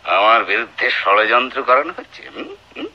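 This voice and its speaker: An actor in a Bengali radio play playing a cruel, scornful husband with a menacing, taunting delivery. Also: male voice, medium pitch, very animated, a little fast. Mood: scornful, furious. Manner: theatrical, menacing, taunting interrogation. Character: cruel, domineering, abusive husband, scornful and deceitful. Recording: dull lo-fi radio drama recording.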